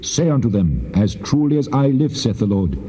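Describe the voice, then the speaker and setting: A man's voice: mid-range voice, big pitch swings, moderate pace, warm tone, lightly acted. A man playing a wrathful, majestic God in a dramatized scripture recording, speaking in solemn declamation. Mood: stern, wrathful. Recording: muffled, long cavernous reverb.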